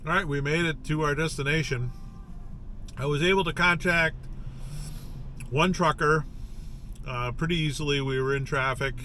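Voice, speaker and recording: A man's voice: a little high, normal speed, long pauses, soft background music. A man giving casual, informal vlog narration, explaining gear step by step in a plainspoken way. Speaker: vlogger testing CB radio gear. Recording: steady background noise, vehicle sounds.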